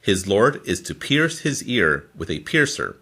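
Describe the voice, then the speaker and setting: A man reading scripture from a formal translation in a deliberate, phrase-by-phrase manner. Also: lowish voice, big pitch swings, bright, forceful tone. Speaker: scripture reader.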